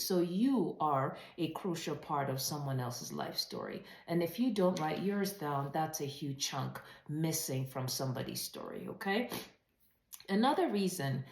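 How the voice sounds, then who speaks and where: A woman with a slightly low voice presents an informal advice webinar in a noticeably roomy, echoey space. She talks directly to her viewers, encouraging them and stretching out key phrases for emphasis. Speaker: host of a writing advice channel.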